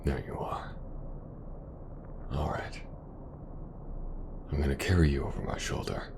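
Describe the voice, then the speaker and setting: A man playing a gruff, protective mercenary commander, speaking softly and reassuringly in an ASMR audio roleplay. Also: steady tone, background noise. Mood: quiet, reassuring. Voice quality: gravelly, very deep. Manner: slow, soft-spoken, reassuring.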